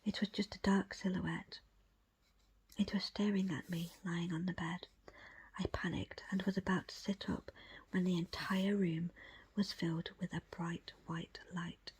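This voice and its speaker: A woman reads a first-person story aloud in a hushed, unvoiced ASMR whisper, measured and breathy. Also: fairly low pitch, flat delivery. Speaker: audiobook reader.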